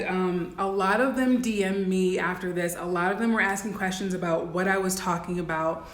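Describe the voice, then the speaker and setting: A woman with a raspy voice giving blunt, instructive coaching advice in a casual livestream talk, full of "um" and "like". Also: mid-range voice, roomy sound. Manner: blunt, instructive, many "um" and "like" fillers. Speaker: dating and life coach.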